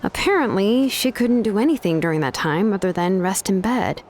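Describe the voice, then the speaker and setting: A woman's voice: medium pitch, lively intonation, moderate pace, a little mellow, slight background noise, natural voice. An actress voicing a laid-back, practical young woman in an anime video game, explaining things casually with small hesitations.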